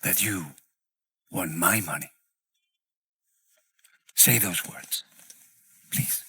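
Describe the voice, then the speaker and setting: A man playing a coldly formal, gloating heroin trafficker in a game cutscene, speaking deliberately and with menace. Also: low-pitched, pushed and bright, husky, heavily acted. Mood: cold, menacing. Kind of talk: dramatic game cutscene dialogue.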